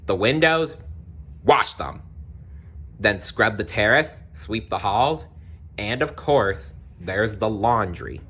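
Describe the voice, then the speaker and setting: A man's voice: fairly low pitch, sing-song, slow pace, a bit bright, husky, exaggerated voice. A man voicing a cold, commanding, sly stepmother in a read-aloud storybook, giving imperious orders. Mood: bossy.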